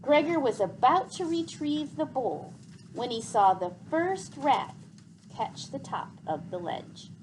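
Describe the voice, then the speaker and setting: A woman narrates a children's novel aloud in an expressive, deliberate, dramatic storybook style, as scripted reading. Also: high-pitched, background noise.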